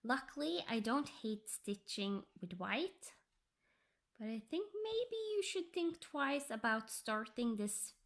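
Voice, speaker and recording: A Norwegian woman, English her second language, chatting softly about her cross-stitch project and often dropping into a whisper. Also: medium pitch. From Norway. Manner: slow, soft-spoken, drifting into whispers. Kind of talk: casual tutorial chat.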